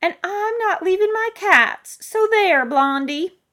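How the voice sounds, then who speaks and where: Elderly woman played by a female reader, high-pitched, loud, snappy, sarcastic, defiant, audiobook character. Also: lively intonation, slow, exaggerated voice. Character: stubborn, feisty, sarcastic elderly woman, tiny cat lover.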